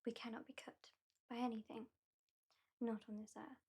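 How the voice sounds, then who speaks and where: A woman with a British accent playing an aloof, sardonic vampire hypnotist in a soft, whispery, deliberate ASMR roleplay. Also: fairly high pitch, level tone, slight background noise, natural voice. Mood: dry, matter-of-fact. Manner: slow, deliberate, lightly dismissive.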